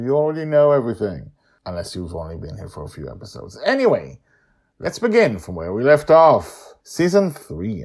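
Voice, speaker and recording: Brief: male podcast host reading a serial story, low voice, very lively delivery. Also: vocal fry, breathy edge. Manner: animated, chatty asides.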